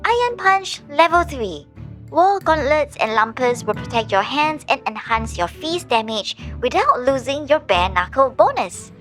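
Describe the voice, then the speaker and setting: A woman's voice: sits high, very animated, a little slow, fairly bright tone, soft background music, full character voice. A woman voicing a showy game announcer reading out awards and item stats in a theatrical, snarky manner. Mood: brisk, snarky. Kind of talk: game system announcements, scripted.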